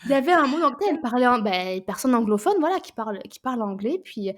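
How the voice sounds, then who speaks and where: A woman, co-hosting a casual book podcast, animated and often repeating words as she searches for them. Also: fairly high pitch, quick pace. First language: French. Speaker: podcast co-host.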